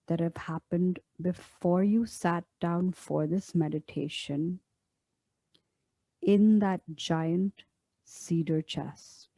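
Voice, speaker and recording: A woman leading a guided meditation as a teacher, speaking in a gentle, soothing, unhurried way with long pauses. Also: on the low side, dry recording.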